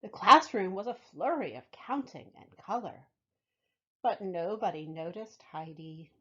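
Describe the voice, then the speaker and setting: A woman reads a children's story with very lively intonation and a husky edge to her voice. She phrases things deliberately and expressively for little listeners. The audio is a bit dull. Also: fairly low pitch. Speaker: library storytime reader. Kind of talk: children's picture-book read-aloud.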